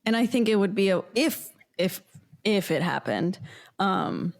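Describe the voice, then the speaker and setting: A woman's voice: mid-range voice, animated, a little slow, vocal fry. A woman talking casually as a guest in a cast interview. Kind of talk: casual chat.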